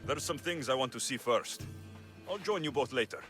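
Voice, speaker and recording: An actor playing Alexios, a dry, steady mercenary in a game cutscene. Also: male voice, mid-range voice, moderate pace, long reverb tail, faint music behind, natural voice. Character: Alexios, male Spartan-born mercenary, dry wit. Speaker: game protagonist. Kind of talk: video game cutscene acting.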